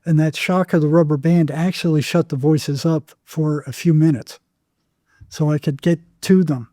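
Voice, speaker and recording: An older man telling his experiences conversationally as an interview guest, in a halting way with repeated words. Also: fairly high pitch, fairly even intonation, mellow, no room sound, clean studio sound. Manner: halting, repeats words, acts out remembered exchanges. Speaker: psychotherapist guest.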